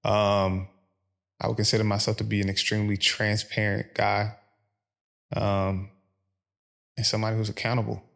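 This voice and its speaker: A man from Philadelphia, speaking as a podcast guest in a calm, measured way with frequent pauses. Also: on the low side, gravelly. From Philadelphia, USA. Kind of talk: reflective interview conversation.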